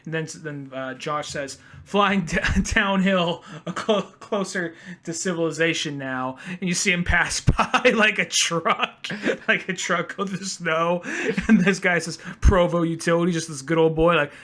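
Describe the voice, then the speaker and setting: Young adult man, sharp bright tone, casual read-along podcaster mixing dramatic line readings with loose chat. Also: fairly high pitch, a little slow, hardly any pauses. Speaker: podcast co-host.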